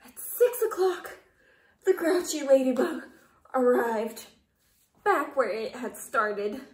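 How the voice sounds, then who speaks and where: A young woman reading a picture book aloud to young children, expressive and dramatic, pausing to explain and ask questions. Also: high voice. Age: young adult. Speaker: kindergarten teacher reading aloud. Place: living room at home. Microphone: camera or phone a short distance away. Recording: roomy indoor echo.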